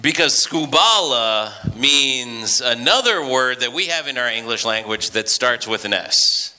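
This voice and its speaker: A man's voice: mid-range voice, animated, unhurried, pushed and bright. A man preaching a sermon in a conversational, storytelling way, often restarting his sentences. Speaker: pastor. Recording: reverberant hall.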